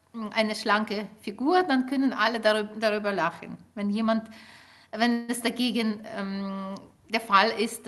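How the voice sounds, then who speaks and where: A middle-aged woman, a native Ukrainian speaker, answering interview questions as a linguist in a measured, explanatory way with frequent fillers. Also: mid-range voice, fairly bright tone. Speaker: linguist guest.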